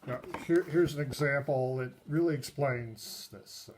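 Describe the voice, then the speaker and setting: A man giving a casual, unscripted review of a tabletop game book, pausing often mid-sentence. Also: medium pitch. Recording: noisy recording with clicks.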